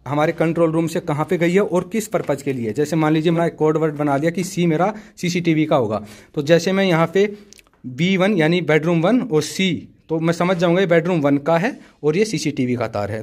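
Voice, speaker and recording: Higher-pitched man explaining methodically. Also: a little fast, a little mellow. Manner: instructive, methodical, often addresses viewers as friends. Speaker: tutorial host, technician. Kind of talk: practical how-to explanation to camera.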